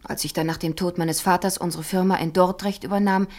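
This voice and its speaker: Composed, lower-voiced businesswoman played by a woman. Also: fairly even intonation, fairly quick, darkish tone, lightly acted. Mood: composed, explaining. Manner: composed, articulate, matter-of-fact. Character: self-possessed, guarded businesswoman, former secretary. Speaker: actress in a radio crime drama. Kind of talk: 1970s radio play dialogue.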